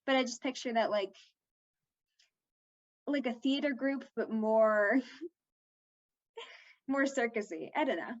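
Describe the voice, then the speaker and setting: High-pitched young woman chatting casually. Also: slow, long pauses, highs cut off. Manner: informal, heavy use of "like". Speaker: interviewed actor. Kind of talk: casual interview chat.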